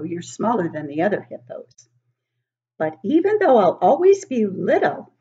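An older woman reads a picture book to small children in a slow, highly animated voice. She is expressive and encouraging, often turning to the listeners with questions. The home recording sounds a little dull. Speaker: teacher reading aloud to young children. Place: living room at home, indoors.

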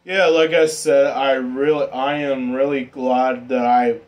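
A man giving a casual, informal hobbyist demonstration in an unhurried, rambling way. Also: mid-range voice, fairly even intonation. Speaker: ceiling fan hobbyist vlogger. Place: indoor room with exposed pipes and ductwork.

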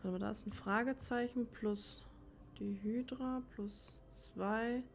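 A woman's voice: on the high side, fairly even intonation, slow, a little hiss or hum. A woman giving casual let's play commentary, thinking aloud and muttering to herself in a puzzled way as she works things out. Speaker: let's player, channel host. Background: game music.

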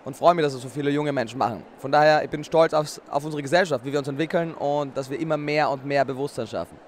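A young man talks into a handheld mic at an indoor expo booth. His voice sits a little high, and he explains things fluently and articulately, often with a short chuckle before he answers. Faint background noise runs underneath. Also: quick pace. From Austria. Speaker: interview guest, nutrition expert. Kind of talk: casual interview answers.

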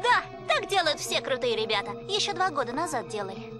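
A woman's voice: high-pitched, very animated, fairly quick. An actress playing a brainy, perky young bandicoot inventor in a cartoon game, breezy, girlish and confident. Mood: cheerful, confident. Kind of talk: cartoon video game voice acting. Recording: loud music behind the voice.

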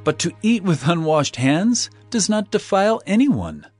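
A man's voice: high-pitched, big pitch swings, a little slow, darkish tone, slightly rough, no room sound, soft background music, heavily acted. An actor playing an authoritative, reproving male teacher in a dramatized scripture reading, with emphatic, declamatory delivery. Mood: earnest teaching.

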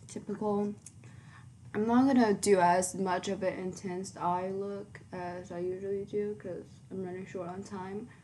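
Teen girl doing her makeup on a webcam, slow rambly talk, kinda low voice, faint room hum. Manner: chatty, rambling, many ums and likes. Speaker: beauty vlogger. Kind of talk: casual makeup tutorial chat. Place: small bedroom indoors.

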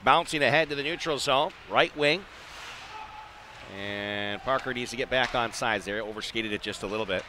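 A man does live play-by-play of an ice hockey game in short, clipped phrases. His voice sits at a medium pitch and is excitable on big plays. There is faint rink noise behind him, as on a live arena broadcast. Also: moderate pace.

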